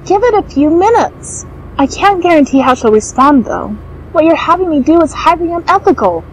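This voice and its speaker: A woman playing an anxious, earnest nurse held captive, gentle but defiant, in an audio fan fiction. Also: extremely high pitch, expressive, normal speed, slight background noise, low-quality recording. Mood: anxious protest.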